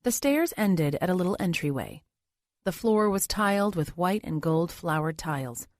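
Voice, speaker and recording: A woman's voice: lowish voice, expressive, normal speed, darkish tone, dry recording, clean studio sound. A woman reading scripted thriller narration as an audiobook narrator.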